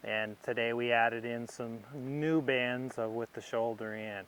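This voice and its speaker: A man giving instructional commentary as a riding instructor, thinking aloud in short, unhurried phrases as he demonstrates. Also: medium pitch, steady tone, fairly bright tone, clean tone, poor audio.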